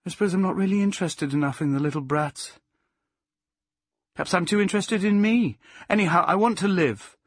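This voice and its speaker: A man's voice: sits high, slightly rough, no echo, clean studio sound, pitched above the performer's own voice. A man voicing an earnest, affectionate young woman in an audiobook, coaxing and stubbornly devoted, with emphatic speech. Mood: restless, resolved. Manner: coaxing, emphatic, halting when unsure. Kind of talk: audiobook character voice.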